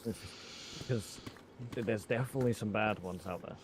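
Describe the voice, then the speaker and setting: A man talking casually with friends during a game, halting and wry, over a noisy voice chat. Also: fairly low pitch. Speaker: gamer playing Minecraft with friends.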